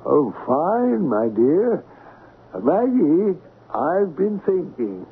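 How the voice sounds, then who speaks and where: An actor in a 1970s radio drama playing a mannered, pompous art appraiser who speaks in balanced phrases with a rising inflection. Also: male voice, on the high side, big pitch swings, extremely slow, heavily acted. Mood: grandly earnest. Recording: muffled, hissy 1970s radio broadcast.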